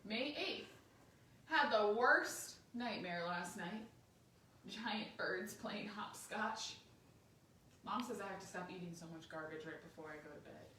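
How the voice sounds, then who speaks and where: A woman reading a picture book aloud to children, expressive and chatty, pausing to speak directly to her young listeners. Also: medium pitch. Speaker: story time reader for a church. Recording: roomy, distant microphone, faint background noise.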